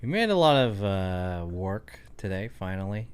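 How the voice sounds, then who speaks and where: A man's voice: low voice, sing-song, a little slow, smooth. A man chatting casually on his livestream, rambling and thinking aloud with lots of fillers. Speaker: streamer.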